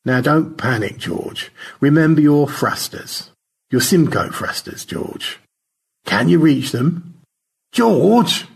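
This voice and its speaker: A man's voice: fairly high pitch, sing-song, slow, vocal fry, breathy edge, pitched above the performer's own voice, heavily acted. A man voicing an earnest, fussy space crewman in an audio story, speaking in a precise, formal, politely roundabout way. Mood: urgent. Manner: precise, circumlocutory, hesitantly polite.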